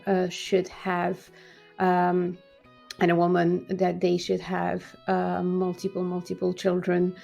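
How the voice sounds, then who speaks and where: Woman host from Serbia, even and level voice, a gravelly edge, halting talk full of ums, faint guitar music underneath. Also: medium pitch. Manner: hesitant, many fillers and restarts. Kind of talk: casual talking-head commentary.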